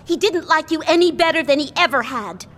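A woman voicing an anxious, pleading circus wife in an audio drama, sometimes sinking to a hushed whisper. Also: high voice, a little fast. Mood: worried.

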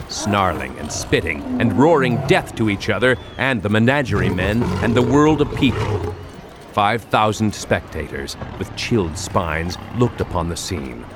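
A man giving tense, measured, theatrical narration for an audiobook production. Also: on the low side, noisy background. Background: music.

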